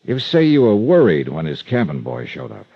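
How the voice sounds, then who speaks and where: An actor playing a hard-boiled, impatient American investigator, brisk and blunt, in the style of old radio detective drama. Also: male voice, fairly low pitch, huge pitch swings, creaky, breathy edge, exaggerated voice. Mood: probing. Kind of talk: 1940s-50s radio detective acting. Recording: dull vintage radio recording.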